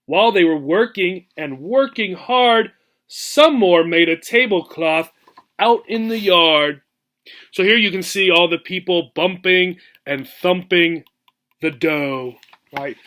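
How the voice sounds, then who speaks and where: Slow, high-voiced man reading a storybook. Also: fairly bright tone. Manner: checks understanding with "right?". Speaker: teacher reading aloud to English learners. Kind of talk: expressive rhyming storybook narration with simple explanatory asides.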